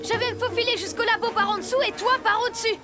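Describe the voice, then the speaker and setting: A very high-pitched, brave little boy superhero speaks cartoon dialogue with bossy confidence. Clear music plays behind the exclamatory young voice. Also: steady tone, fast. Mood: confident, commanding. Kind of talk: children's cartoon dubbing.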